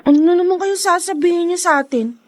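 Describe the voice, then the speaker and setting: A woman's voice: very high voice, fast talker, no room sound, studio quality. A woman voicing a bossy, wary schoolgirl who is a protective older sister in a radio drama. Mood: wary, scolding.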